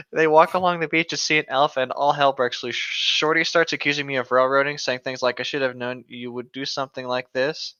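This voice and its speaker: Male co-host for casual story reading, mid pitch, sharp bright tone, dull budget recording. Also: fairly even intonation, normal speed, clear, resonant tone, no room sound. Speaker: podcast co-host. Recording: dull, lo-fi microphone sound.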